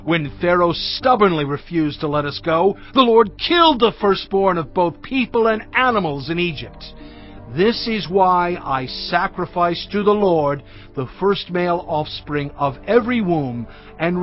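Brief: Moses, firm leader, higher voice, dull sound, soft music under. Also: male voice. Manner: earnest, reassuring declamation.

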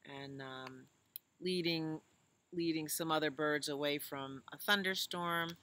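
Woman, 60s, American, children's storytime reader. Slow pacing, bright forward tone, chatty asides. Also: low voice, a little hiss or hum. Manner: simple, explanatory, asking questions of young listeners. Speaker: children's librarian reading storytime. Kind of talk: reading a picture book aloud to young children, with chatty asides. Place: outdoors in a leafy garden.

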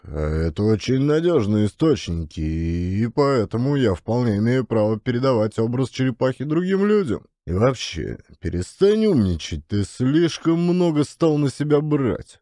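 A man's voice: fairly low pitch, dark tone, dry recording, clean studio sound. A man playing an irritable, pompous middle-aged father in a comedic audio play, scolding as he talks.

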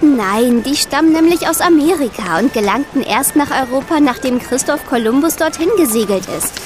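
A woman's voice: high-pitched, lively intonation, normal speed, over music. A woman playing a sceptical, precise know-it-all schoolgirl who likes to correct others, in a children's audio drama. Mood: sceptical, explaining. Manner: matter-of-fact, teasing, correcting.

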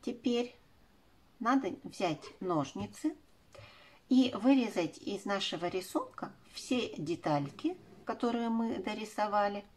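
A woman's voice: medium pitch, expressive, unhurried, darkish tone. A woman in her forties giving step-by-step craft instructions for children, speaking in affectionate diminutives. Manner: uses affectionate diminutive words. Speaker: craft tutorial presenter.

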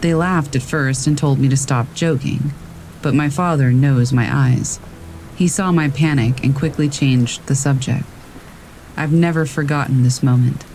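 A man calmly reading scary stories aloud as a narrator, in a steady storytelling manner. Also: medium pitch, unhurried, slight background noise, rough recording. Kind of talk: scripted narration of reader submissions. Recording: faint rain in the background. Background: rain.

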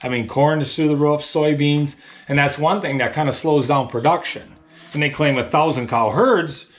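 A man's voice: fairly high pitch, moderate pace. Plain-spoken male dairy farmer chatting. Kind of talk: casual explanatory chat. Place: small tiled milk room with steel tank, indoors.

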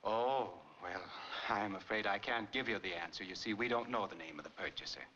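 A man's voice: lowish voice, a little fast, pushed and bright. A middle-aged actor playing a polite, matter-of-fact monument salesman in 1940s film style. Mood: polite, businesslike. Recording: noisy, dull 1940s film soundtrack.

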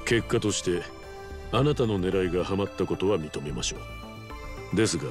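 An actor playing a cool, calculating executive fixer in a video game, speaking with stern, measured politeness. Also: male voice, deep voice, lively intonation, over music. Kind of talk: Japanese video game cutscene acting.

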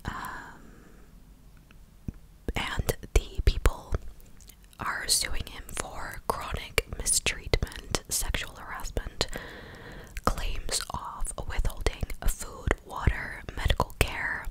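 A woman in her twenties whispering close to the mic in a breathy, casual, chatty ASMR style. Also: slow pace, low-quality recording. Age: 20s. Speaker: ASMR video host. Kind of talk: whispered ASMR news recap. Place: small living room indoors. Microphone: large desk condenser mic, very close.

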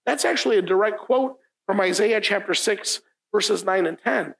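Man, middle-aged, pastor, first language Italian, gravelly voice, casual sermon, repeats points, church room, distant camera. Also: high voice, normal speed, fairly bright tone. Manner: informal, often asks "right?", repeats key lines for emphasis. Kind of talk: conversational sermon. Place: church meeting room, indoors, standing at a lectern.

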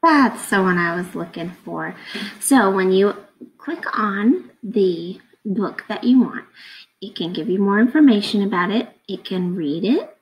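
Lady in her 30s teaching little kids, slow pace, bit of vocal fry. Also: medium pitch, lively intonation, rough recording. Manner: explains simply, asking questions of young listeners. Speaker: teacher reading to children. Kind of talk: casual read-aloud lesson for children. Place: indoors at a computer, webcam screen recording.

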